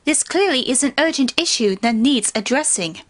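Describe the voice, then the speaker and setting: A woman's voice: high voice, lively intonation, moderate pace, fairly bright tone. A woman voicing a formal, dignified female pony princess of the night in a cartoon fan fiction reading.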